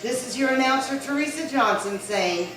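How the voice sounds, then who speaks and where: A woman's voice: sits high, expressive, normal speed, a bit bright. A woman reading a scripted announcement as the announcer of a radio show. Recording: echoey hall, distant mic. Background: music.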